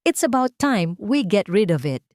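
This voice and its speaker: A woman voicing a spoiled, callous rich young woman in an audio story, shrill and brazenly dismissive. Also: big pitch swings, normal speed, a little mellow, no room sound, studio quality, close to an everyday voice. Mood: brazen contempt. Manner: brazen, dismissive, mixing in English.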